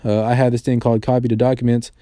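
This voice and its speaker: Mellow, clear-voiced man giving casual tutorial. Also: mid-range voice, little pitch movement, fast talker, dry recording, studio quality. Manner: informal, profanity-laced, slangy. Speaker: tech tutorial host. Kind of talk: casual screen-recording tutorial voice-over.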